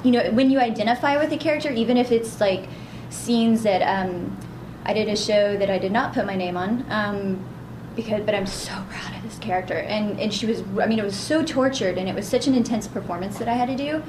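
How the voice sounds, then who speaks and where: A woman's voice: mid-range voice, fairly quick. A woman with a young-sounding voice and a bubbly, upbeat manner, chatting as a voice actress in an interview. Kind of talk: casual interview chat. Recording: echoey room with background noise.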